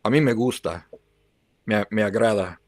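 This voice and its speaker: Guy rambling on his livestream, talks back to chat, slips into spanish, kinda deep, dry mic. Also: moderate pace, no room sound. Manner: chatty, rambling, reacts to viewer comments; switches between English and learner's Spanish. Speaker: livestream host. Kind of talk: casual chat with viewers.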